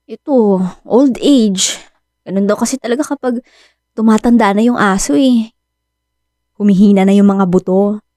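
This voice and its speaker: A woman's voice: mid-range voice, normal speed, long pauses, dark tone, no echo, lightly acted. An actress with a Filipino accent playing a devoted, anxious young woman who dotes on her aging dog in an emotive radio drama. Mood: concerned. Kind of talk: Tagalog radio drama acting. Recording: music bed behind dry studio voice.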